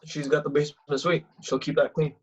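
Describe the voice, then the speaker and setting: A man's voice: a little high, level tone, brisk, slightly rough. An actor playing a determined, bossy young man in a comedy script read over a video call. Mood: insistent. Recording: muffled, lo-fi video-call audio.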